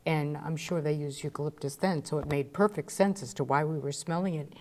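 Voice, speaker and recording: A woman's voice: low voice, fairly quick, dark tone. A woman hosting a radio talk show, chatty and eager, telling anecdotes and asking her guest questions. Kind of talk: casual interview chat.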